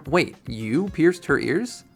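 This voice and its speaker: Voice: mid-range voice, animated, slow, gravelly, faint music behind, voice pushed up, heavily acted. A narrator as an awkward, earnest teenage boy.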